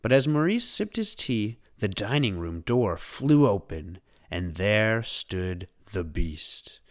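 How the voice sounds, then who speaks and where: A man reading a children's storybook aloud as scripted, expressive narration. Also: on the low side, slow.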